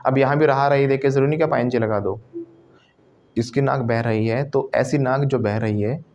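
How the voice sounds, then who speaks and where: A man's voice: medium pitch, moderate pace, darkish tone, lo-fi sound. Indian man drilling a class. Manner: teacherly, drilling students with repeat-after-me prompts. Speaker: English trainer. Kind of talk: classroom spoken English lesson.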